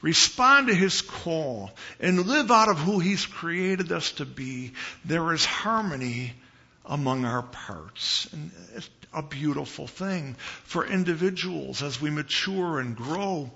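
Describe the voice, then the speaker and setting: A man from Chicago preaching as a visiting pastor in a church, with a big voice and an unhurried, conversational manner, pausing and repeating phrases for emphasis. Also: on the high side, expressive, vocal fry, bright, forceful tone, highs cut off. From Chicago, United States. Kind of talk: conversational sermon. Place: church sanctuary.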